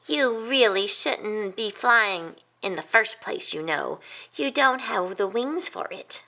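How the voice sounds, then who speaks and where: A woman voicing a placid, matter-of-fact cow who scolds in a slow way, in a children's storybook. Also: medium pitch, big pitch swings, a bit bright, full character voice, low-quality recording. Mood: scolding.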